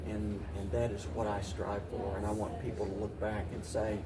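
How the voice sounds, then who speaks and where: An older man giving reflective interview answers as a mayor, haltingly, often repeating and restarting his phrases. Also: fairly low pitch, level tone, heavy background noise. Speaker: mayor, talk show guest. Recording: roomy, distant mic, voices in background.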